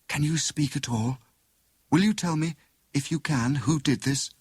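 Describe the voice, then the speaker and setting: Man voicing a gentle, earnest Englishwoman, raised pitch. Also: unhurried, husky, dry recording, clean studio sound. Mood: gentle concern. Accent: British. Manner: soft, earnest, urgent. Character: gentle, concerned young wife turned amateur detective.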